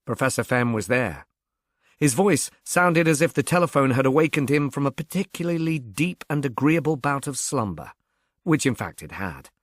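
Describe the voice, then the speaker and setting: A man narrating a mystery novel in a measured, literary storytelling manner. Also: medium pitch, sing-song, no room sound, studio quality. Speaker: audiobook narrator.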